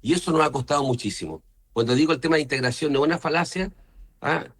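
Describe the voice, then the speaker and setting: A man's voice: a little high, fast talker. A man from Chile with a Chilean accent, speaking as a senator in an interview and punctuating his points with short questioning tags. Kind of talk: political interview, explaining opinions.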